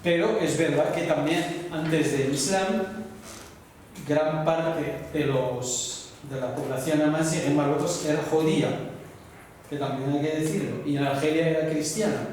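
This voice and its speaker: A man lecturing to a class, his voice a little high for a man, pausing to check understanding with short tag questions. He is heard from a distance in a large, echoey room with a long reverb and a faint hiss in the background. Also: steady tone, darkish tone. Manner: explanatory, frequent "¿no?" tag questions. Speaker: university lecturer. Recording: echoey hall, voice from far off.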